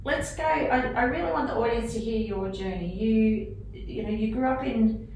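A woman's voice: a little high, normal speed, a little mellow. A woman hosting a podcast, introducing her guest and chatting in an enthusiastic way. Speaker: podcast host. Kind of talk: casual interview hosting. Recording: echoey room, faint noise.

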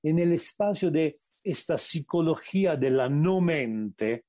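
A man with an Italian accent, a native Italian speaker, giving a radio interview as a meditation teacher and often pausing to search for the right word. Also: on the high side, expressive, warm tone, dry recording, studio quality. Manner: searching for words, slowing to stress points. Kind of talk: reflective interview answers.

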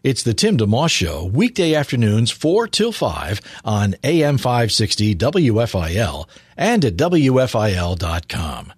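An American man announces a scripted radio promo. His husky voice swings dramatically up and down in pitch, and the recording is dry, with no room sound. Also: sing-song, measured pace, dry recording.

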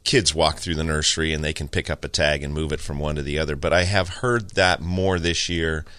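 Very deep-voiced joking nursery man. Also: fairly even intonation, sharp tone, no room sound, studio quality. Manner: joking, teasing, quick asides. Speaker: garden show host and nursery owner. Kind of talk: casual radio chat.

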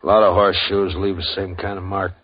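An actor playing a weary, reluctant frontier sheriff with a gruff, grudging manner in a 1950s radio drama. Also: male voice, low voice, little pitch movement, a little fast. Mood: defensive. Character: weary, reluctant old-west sheriff, stubborn. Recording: dull old radio broadcast.

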